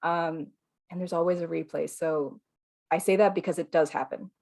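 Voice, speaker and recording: Brief: relaxed female-voiced qigong teacher, soothing tone, sits a bit low, casual and chatty. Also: normal speed, vocal fry. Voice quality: calm, soothing. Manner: chatty, relaxed, frequent fillers and laughs. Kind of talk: casual live online class.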